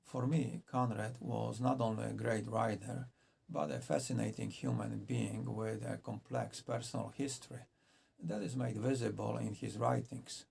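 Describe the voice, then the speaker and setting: Man, book reviewer, measured and earnest, raspy, gravelly. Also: medium pitch, fairly even intonation, darkish tone. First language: Polish.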